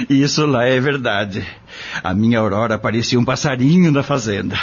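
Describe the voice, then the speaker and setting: Um ator com sotaque brasileiro interpretando um pai humilde e preocupado do interior, em radionovela, com fala simples e queixosa. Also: male voice, medium pitch, a little slow, breathy edge. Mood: plaintive, confiding. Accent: Brazilian. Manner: plaintive, simple colloquial speech. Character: humble, worried rural father, a dismissed farmhand. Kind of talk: audio drama acting. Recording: dull lo-fi audio.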